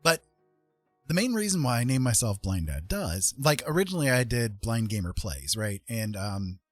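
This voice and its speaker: A man's voice: mid-range voice, very animated, moderate pace, dry recording, faint music behind. A man chatting casually on a livestream in a rambling, playful, self-mocking way.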